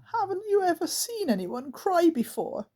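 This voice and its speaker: Woman voicing a high-pitched young girl. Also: big pitch swings, a little slow, a little mellow. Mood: sobbing. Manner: sobbing then stubborn. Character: upset, determined young girl.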